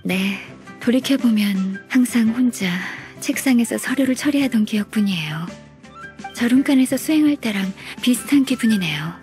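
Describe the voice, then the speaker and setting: A woman voicing a gentle, modest, dutiful secretary in a video game, speaking softly and formally. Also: fairly high pitch, lively intonation, moderate pace, faint music behind, lightly acted. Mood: gentle, wistful. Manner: polite, soft-spoken, formal. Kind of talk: Korean video game voice acting.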